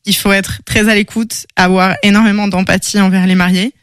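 A woman in her thirties, a wedding planner, chatting as a radio guest in a quick, confident way and readily agreeing with the host. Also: mid-range voice, little pitch movement, smooth, dry recording, clean studio sound. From France, raised in southern Spain. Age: 30s. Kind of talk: casual interview chat.

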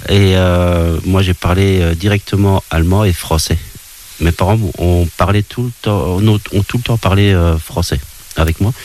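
Man, 50s, very low voice, hesitant, interview guest, light background hiss. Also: fairly even intonation, a little mellow. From Belgium. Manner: hesitant, many restarts and "euh" pauses. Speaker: guest, water polo coach. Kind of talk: casual interview talk. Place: indoor room at an association's premises.

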